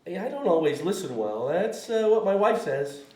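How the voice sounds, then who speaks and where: High male voice, echoey room, man playing nosy jokester buddy, needling, mocking, table read. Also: big pitch swings, moderate pace, mellow. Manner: needling, mock-impressed.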